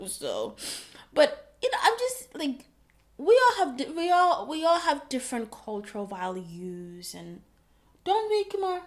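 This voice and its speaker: A woman from Ghana co-hosting a casual advice chat, animated and teasing, laughing often. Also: high voice, unhurried. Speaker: co-host.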